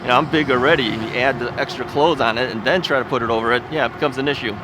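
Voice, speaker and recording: A man talks casually into a bike-mounted camera as he rides along a city street. His delivery is rambling and folksy, full of filler words and little chuckles. Cars and street noise come through clearly behind him. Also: medium pitch, rapid-fire. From United States. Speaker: ebike video host. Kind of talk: casual chatty vlog while riding. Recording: outdoor street recording with traffic noise. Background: traffic and street noise.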